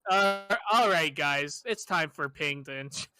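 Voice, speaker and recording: A man's voice: on the high side, a little slow, pushed and bright, soft background music. A man joking around in a casual group chat, playfully putting on announcer-style intros. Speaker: guest on a friend's livestream.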